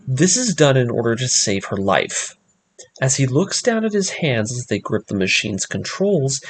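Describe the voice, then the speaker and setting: A man delivers scripted story narration with a middle-pitched voice and lively, varied intonation. He recounts the plot as a narrator would, with faint music running underneath. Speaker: narrator of an anime story breakdown series.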